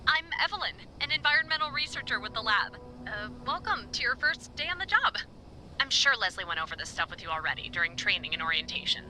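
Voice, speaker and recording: A woman's voice: on the high side, sharp tone, a little hiss or hum, exaggerated voice, low-quality recording. A woman acting a friendly, chatty environmental researcher giving a briefing by walkie-talkie in a video game. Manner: chatty, rambling, upbeat. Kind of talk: naturalistic video game dialogue.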